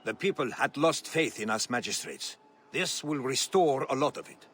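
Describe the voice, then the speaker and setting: Animated, earnest ancient magistrate. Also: male voice, medium pitch, moderate pace, breathy edge. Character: earnest, eager male magistrate of an ancient city. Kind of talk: video game cutscene acting.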